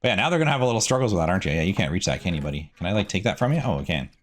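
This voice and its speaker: A man hosting a gaming video, giving casual, chatty gameplay commentary and thinking out loud as he goes. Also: deep voice, very animated, rapid-fire, slight background noise.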